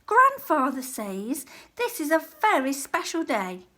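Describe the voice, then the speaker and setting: A woman's voice: high voice, huge pitch swings, unhurried, pushed and bright, voice pushed up, exaggerated voice. A woman reading the part of an eager, helpful boy in a storybook, calling out keenly.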